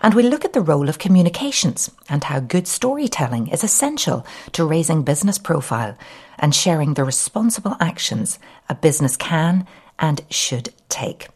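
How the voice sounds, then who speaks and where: A woman with a low-pitched voice presents as a podcast host. She delivers polished, scripted introductions and questions, addressing guests in a complimentary way. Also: darkish tone, husky.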